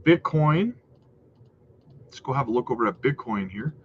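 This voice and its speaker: A man's voice: medium pitch, lively intonation, moderate pace. A man hosting a casual crypto market livestream, chatty and hyped, with a slightly nasal voice, often calling viewers guys. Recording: dull lo-fi audio with faint noise.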